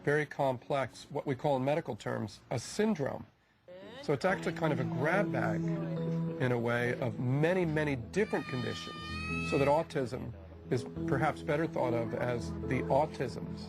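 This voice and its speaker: A man speaks as a scientific expert in an interview. He explains things in a measured manner at a middle pitch, with music clearly heard behind him.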